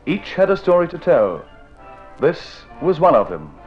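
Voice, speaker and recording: A male announcer giving measured, theatrical scripted narration for an old radio drama, on a vintage recording with music behind. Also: a little high, wildly swooping pitch, lo-fi sound.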